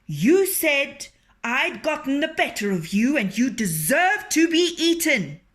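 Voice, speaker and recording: Woman reading as a sly fox from a children's story, boastful, loud and slow. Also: sits high, very animated, pitched above the performer's own voice, exaggerated voice.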